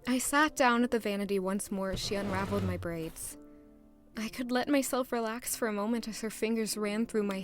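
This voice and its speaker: A woman plays a young commoner heroine in an audio drama, shy and introspective. Her voice sits a little high, nervous yet hopeful, with light music underneath. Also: natural voice. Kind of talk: audio drama narration and dialogue.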